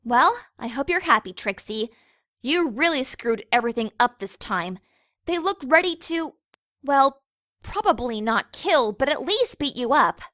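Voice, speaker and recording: A woman acting as a young cartoon mare with a high voice, recorded close and dry. She is sensible and sardonic, scolding gently in a matter-of-fact way. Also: animated, unhurried, no echo. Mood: exasperated. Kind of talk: cartoon fan fiction voice acting.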